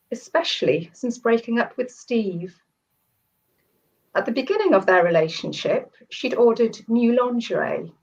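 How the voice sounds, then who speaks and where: A woman whose voice is husky and croaky from a cold. She talks in a chatty way, with small laughs and restarts, and reads her story aloud. The sound is dull and lo-fi, like a video call. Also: medium pitch. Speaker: short story author and guest. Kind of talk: casual chat, then reading her story aloud.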